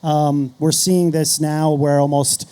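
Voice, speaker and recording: Higher-voiced, mellow man on a panel. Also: flat delivery, slow pace, clean tone. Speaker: panelist, podcast host. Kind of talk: conference panel discussion, casual.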